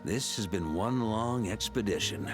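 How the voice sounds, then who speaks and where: An actor playing a seasoned knight commander in a video game, steadfast and easygoing, speaking in a measured, reflective and conversational way. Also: male voice, fairly low pitch, animated, music in the background. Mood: solemn, resolute.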